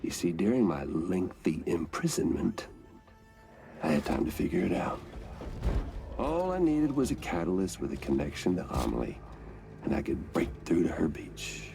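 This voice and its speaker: An actor playing a gloating, theatrical, vengeful villain in a video game, with a gravelly American Southern drawl that dips into whispers. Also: male voice, deep voice, huge pitch swings, moderate pace, mellow, soft background music, heavily acted. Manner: theatrical, taunting, savouring each word. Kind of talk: cinematic video game acting.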